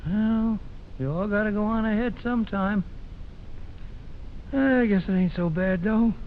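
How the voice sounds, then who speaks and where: An actor playing a wistful, slangy jazz pianist in a vintage radio drama, reminiscing in plain, drawn-out phrases. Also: male voice, sits high, slight background noise. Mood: wistful, reflective. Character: wistful, slangy male jazz piano player. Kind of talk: 1940s radio drama acting. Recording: tinny old radio broadcast.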